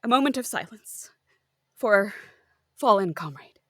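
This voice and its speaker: A woman acts out an earnest, slightly dim female hospital worker in a comedy audio play. She speaks very slowly and haltingly, with solemn drama, in a dry recording. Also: mid-range voice, lively intonation, a bit bright, no room sound. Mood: mock solemn.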